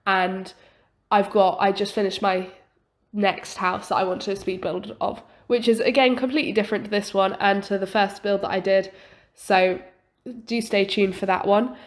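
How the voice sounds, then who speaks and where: A young woman talks through her build in a relaxed, chatty way. Her voice is still slightly croaky from an illness. She pauses with small fillers and leans on the word "quite" a lot, the way a home-recorded voice-over does. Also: medium pitch. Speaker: game building youtuber. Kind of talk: voice-over commentary on a building video.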